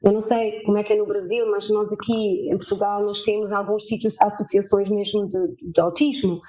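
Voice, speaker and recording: A woman in her fifties, from Germany, speaking as a guest in an interview and telling her story haltingly with many filler sounds. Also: medium pitch, little pitch movement, mellow, slight background noise, poor audio.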